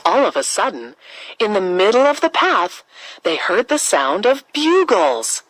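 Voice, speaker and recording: A woman's voice: medium pitch, very animated, unhurried, a bit bright, no echo, rough recording. A woman reading scripted narration for a children's story as the storyteller.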